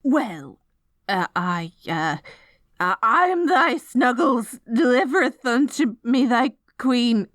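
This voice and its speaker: A woman's voice: on the high side, expressive, takes it slowly, fairly bright tone, dry recording, studio quality. A woman playing a dorky, lovestruck evil queen in an ASMR roleplay, stammering and flustered between pompous villainous proclamations. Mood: flustered, nervous. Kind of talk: ASMR roleplay audio drama.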